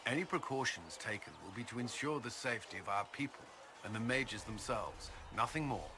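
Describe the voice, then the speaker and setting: A man acting a stern, weary military commander in a video game, speaking in a formal, measured way. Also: mid-range voice, animated, noisy background, lightly acted. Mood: guarded, firm. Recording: music behind the voice.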